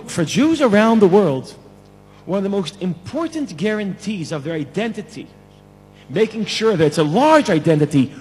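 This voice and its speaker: A man from Israel giving an emphatic lecture to a conference plenary, repeating key phrases for effect, with a husky voice. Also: high-pitched, darkish tone, big-room sound, rough recording. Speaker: conference speaker. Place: large conference hall.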